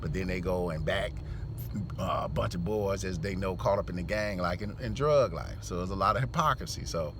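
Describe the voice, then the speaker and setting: A man's voice: sits low, fairly quick. A middle-aged man hosting a commentary channel, ranting bluntly and preachily about his community. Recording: outdoor street noise.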